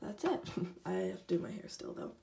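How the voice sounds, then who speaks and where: A teenage girl from Canada with a hoarse, lost voice, chatting casually in a vlog to a handheld camera. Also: lively intonation, normal speed. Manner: low and half-whispered at home, louder and livelier on the go. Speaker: vlogger. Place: bedroom, car and school. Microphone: handheld camera at arm's length.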